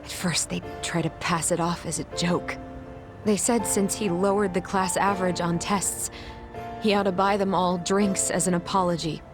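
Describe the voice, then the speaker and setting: A woman playing a guarded, guilt-ridden young schoolteacher in a dramatic game cutscene, speaking in a measured, reluctant and earnest way. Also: fairly low pitch, fairly even intonation, close to an everyday voice. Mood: somber, confessional. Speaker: English teacher. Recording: dramatic music behind.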